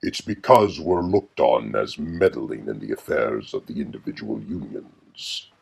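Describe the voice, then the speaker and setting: A man puts on a tall, solemn warrior for a fantasy audio drama. His voice is extremely deep and gravelly, with a grave manner. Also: lively intonation, unhurried, heavily acted. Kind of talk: fan audio drama dialogue.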